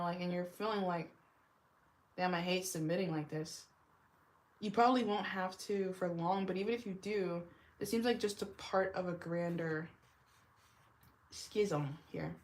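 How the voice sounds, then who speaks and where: A young woman with a deep, smoky voice that she puts down to years of smoking. She gives a loose, rambling tarot reading, filling her sentences with "like" and trailing off mid-thought. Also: normal speed. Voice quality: deep smoker's voice. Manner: rambling, heavy use of "like", laughs often. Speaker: tarot reader. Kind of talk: casual tarot reading vlog.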